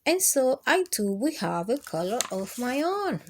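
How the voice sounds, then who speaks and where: A woman's voice: sits high, big pitch swings, unhurried, a little hiss or hum, heavily acted. A woman voicing a wistful young male chameleon in a storybook, speaking earnestly and expressively. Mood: hopeful resolve. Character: young male chameleon, wistful, longing for his own color.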